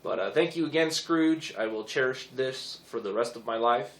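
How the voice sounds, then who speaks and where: A hoarse young man rambling casually with many fillers as he reviews his toy figures. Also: medium pitch, normal speed, echoey room.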